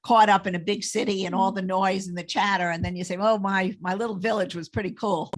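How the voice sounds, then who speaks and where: Older woman, chatty grandma co-host, quick to laugh. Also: medium pitch, steady tone, slight background noise. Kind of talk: casual chat.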